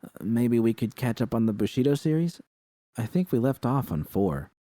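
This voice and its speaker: An easygoing, sleepy woman talking with her girlfriend in a story, read by a man. The voice is mellow and sounds close and dry. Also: medium pitch, big pitch swings, moderate pace, no room sound, voice pushed up, lightly acted. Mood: relaxed, agreeable. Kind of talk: acted audiobook dialogue.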